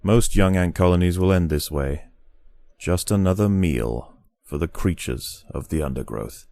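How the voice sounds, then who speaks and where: A man delivering scripted nature documentary narration in a solemn, deliberate, dramatic manner. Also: low voice, vocal fry, mellow. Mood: grave, ominous. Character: grave, measured male nature documentary narrator.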